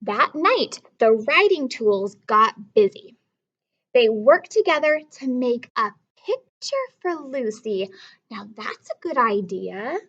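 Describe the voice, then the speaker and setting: A woman with a high voice reads aloud to young children as their teacher. She takes her time, expressive and instructive, talking straight to the listeners. The sound is slightly dull and lo-fi. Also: slow. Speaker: elementary school teacher. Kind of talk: children's story read-aloud with teaching questions.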